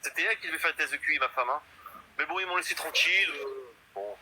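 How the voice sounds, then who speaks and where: A man's voice: on the high side, quick pace, pushed and bright, slight background noise, poor audio. A man in his fifties in a casual live chat, boastful and rambling, full of hesitations. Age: 50s. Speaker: guest in a live stream chat.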